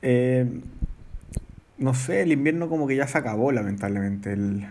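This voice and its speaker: A Chilean man, a musician guest, talking in a relaxed, rambling way with many fillers. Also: mid-range voice, normal speed. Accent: Chilean Spanish. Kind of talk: casual interview chat.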